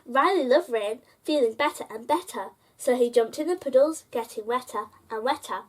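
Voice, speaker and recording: A woman's voice: a little high, normal speed. A woman hosting a story time, reading a children's picture book aloud and addressing young listeners directly.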